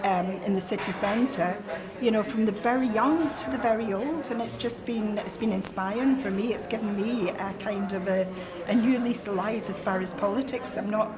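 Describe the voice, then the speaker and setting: Woman in her sixties, loud crowd behind. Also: medium pitch, normal speed. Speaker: retired head teacher. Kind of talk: interview answers. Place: busy cafe.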